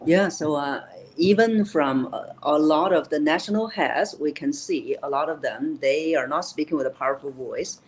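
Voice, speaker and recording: A woman's voice: low-pitched, moderate pace, few pauses, a little hiss or hum, low-quality recording. A woman voice coach in a live interview, explaining vocal technique in an instructive way. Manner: instructive, demonstrates voices while teaching. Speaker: voice coach and keynote speaker.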